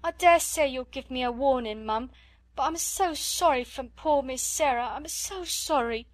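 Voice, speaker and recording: A woman's voice: high voice, moderate pace, no room sound, voice pushed up, close to an everyday voice. A woman voicing a timid, tearful young scullery maid who pleads in a servant's dialect. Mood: tearful pleading.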